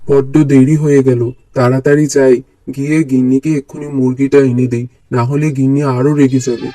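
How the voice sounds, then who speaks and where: A man voicing a meek, forgetful village husband in a cartoon, talking in a hurried, fretful way. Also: mid-range voice, level tone, dark tone, no echo, soft background music, natural voice, rough recording. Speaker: cartoon voice actor. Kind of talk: cartoon voice acting.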